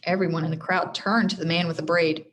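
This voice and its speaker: A woman reading a children's storybook aloud like a teacher, expressive, speaking directly to her listeners. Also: fairly low pitch, fast talker, a little hiss or hum. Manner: expressive, addresses listeners directly, stumbles over hard names. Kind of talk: read-aloud storybook narration with friendly asides.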